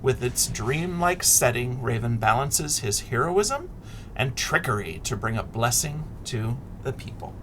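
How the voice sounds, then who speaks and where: A middle-aged man reading a picture book aloud expressively to children, deliberate and dramatic, often dropping into a hush. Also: mid-range voice, very animated, noisy background. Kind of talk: expressive children's read-aloud with asides and questions.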